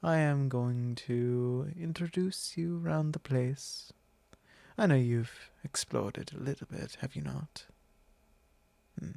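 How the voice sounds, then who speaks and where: Vampire lord , male actor: courtly, possessive, condescending; unhurried creaky delivery, close intimate ASMR. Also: medium pitch, animated, dry recording. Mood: smug, possessive. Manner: formal, unhurried, condescending, with soft chuckles. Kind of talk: ASMR roleplay, intimate monologue.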